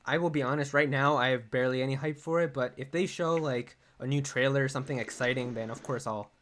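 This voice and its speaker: A man hosting a casual gaming podcast, reading viewer questions in a relaxed, laid-back way. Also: mid-range voice, quick pace, slight background noise.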